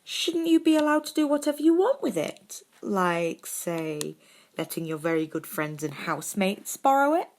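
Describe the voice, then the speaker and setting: A woman's voice: medium pitch, sing-song, moderate pace, darkish tone, voice pushed up. A woman voicing a haughty young schoolgirl with a sweet, whining, wheedling manner.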